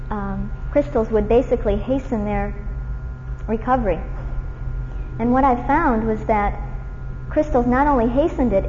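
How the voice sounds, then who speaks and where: A soft-spoken woman from Northern California gives an informal talk to a room full of people. Her voice sits fairly high with a mellow, dark tone. The recording is dull and lo-fi, with a long hall reverb. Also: a little hiss or hum. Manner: soft-spoken, with fillers and restarts. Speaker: guest speaker, psychology doctoral student. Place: lecture hall with audience.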